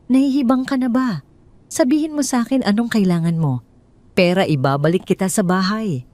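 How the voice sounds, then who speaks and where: A woman's voice: mid-range voice, normal speed, darkish tone, no room sound, pitched above the performer's own voice, natural voice. A woman voicing a furious, controlling husband, angry and commanding, in an audio drama. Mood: angry, desperate. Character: furious controlling husband, caught cheating, ordering his wife.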